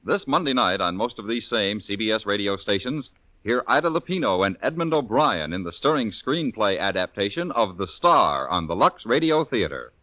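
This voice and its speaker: Bright, animated vintage American radio announcer. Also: male voice, fairly low pitch, normal speed, no echo. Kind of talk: 1950s network radio announcing, scripted promo.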